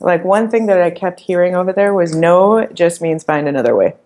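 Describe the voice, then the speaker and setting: A woman's voice: fairly low pitch, a little fast, darkish tone. A woman founder raised in the US, giving upbeat, emphatic advice in a casual podcast interview. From Iran, raised in the US. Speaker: startup founder, interview guest.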